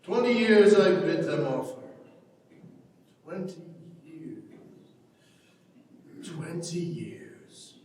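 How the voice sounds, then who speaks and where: A man's voice: a little high, sing-song, extremely slow, pauses often, darkish tone, exaggerated voice. A man playing a stubborn, brooding old Irish farmer and father in a dramatic stage reading. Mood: resigned, sorrowful. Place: large reverberant indoor hall, from far off. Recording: very echoey hall, distant mic, noisy.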